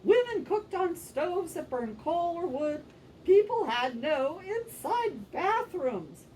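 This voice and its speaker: High, animated woman in a puppet show. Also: slow pace. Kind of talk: scripted puppet show narration. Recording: distant microphone, faint background noise.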